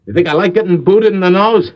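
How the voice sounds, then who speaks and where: High-pitched, griping tough-guy henchman played by a man. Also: fast, close to an everyday voice. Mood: aggrieved, grumbling. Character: tall, grumbling, reluctant male escaped-convict henchman. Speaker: actor in a radio crime drama. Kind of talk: 1940s-50s radio noir acting. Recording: muffled old radio, very loud noise.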